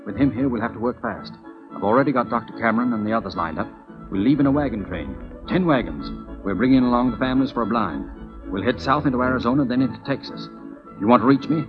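An actor playing a cool, courtly military captain and secret agent in a 1940s radio western, formal and commanding in manner. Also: male voice, mid-range voice, animated, fast talker, music in the background, natural voice. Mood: urgent. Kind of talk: 1940s radio drama acting. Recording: muffled old 1940s radio broadcast.

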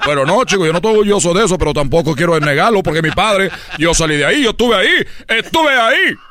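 A man's voice: sits high, animated, fast talker, pushed and bright. A man playing a boastful baseball player, who rambles and stammers through his stories. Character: boastful Cuban baseball player, claims a famous father.

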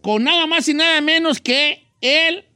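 An enthusiastic male talk show host who mixes Spanish and English. His voice is very high and bright, recorded dry and close. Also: a little slow, dry recording. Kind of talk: casual talk show hosting.

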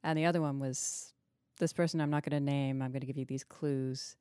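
A woman with a low, soft-toned voice hosts a thoughtful discussion of fiction. She is analytical and likes probing questions. The recording is close and dry. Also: steady tone, brisk, smooth, no echo. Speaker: podcast host interviewing an author.

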